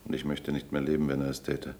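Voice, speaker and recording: A man's voice: extremely low pitch, completely flat delivery, fast, mellow. An actor playing a sombre, resigned man awaiting terrible news in a German radio play, with restrained delivery. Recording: echoey room, faint hum.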